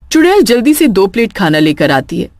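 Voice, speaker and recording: A woman's voice: mid-range voice, very animated, fairly quick, a little mellow, dry recording. A woman narrating a scripted horror story in a dramatic storytelling style.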